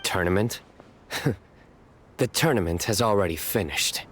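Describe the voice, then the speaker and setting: Casting: solemn, self-important anime game commander with a fairly low, deadpan voice. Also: male voice, a little slow, very noisy. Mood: proud. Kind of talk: anime video game voice acting.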